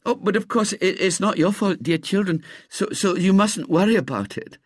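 A man's voice: expressive, moderate pace, husky, no echo, studio quality. A man doing a flustered genteel old lady. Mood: dismayed. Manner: fluttering, high and gentle.

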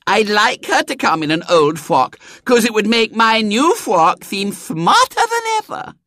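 A man's voice: high-pitched, sing-song, unhurried, pushed and bright, no echo, clean studio sound, pitched above the performer's own voice, heavily acted. A man voicing an imperious, spoiled little girl who plays at royalty in a children's storybook, bossy, demanding and haughty. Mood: smug.

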